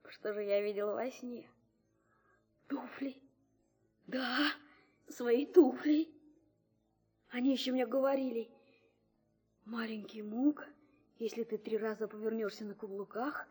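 Naive, good-hearted small boy. High voice, theatrical storybook acting, thinking aloud. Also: dark tone, breathy edge, heavily acted. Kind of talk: audio fairy tale acting.

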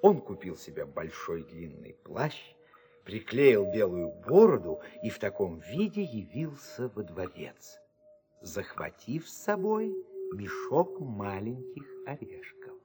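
Expressive male storybook narrator. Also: on the low side, slow pace. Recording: music beneath.

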